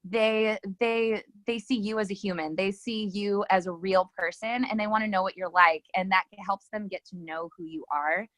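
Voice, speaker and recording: A woman's voice: medium pitch, steady tone, moderate pace, hardly any pauses, a bit bright, no echo, poor audio. Rambling woman giving social media tips. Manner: rambling, lots of ums and restarts. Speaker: guest social media coach. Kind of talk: casual advice chat.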